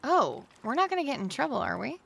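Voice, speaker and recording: An actress playing a playful, daring young woman in an audio drama, with a teasing, excitable way of talking. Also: high voice, fast, creaky, breathy edge, full character voice. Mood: puzzled, worried.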